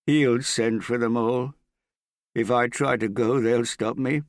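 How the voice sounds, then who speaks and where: A man's voice: medium pitch, fairly even intonation, takes it slowly, dry recording, clean studio sound, pitched above the performer's own voice, close to an everyday voice. A man voicing a paranoid, frightened, hard-drinking man in hiding, agitated and pleading, in an audiobook. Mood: panicked. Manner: agitated, suspicious, pleading, prone to shouting. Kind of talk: audiobook character acting.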